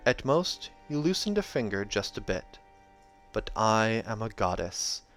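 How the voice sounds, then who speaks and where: A hoarse man voicing a bound ancient goddess, speaking slowly and solemnly in a hushed voice. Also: fairly low pitch, sing-song, faint music behind, heavily acted.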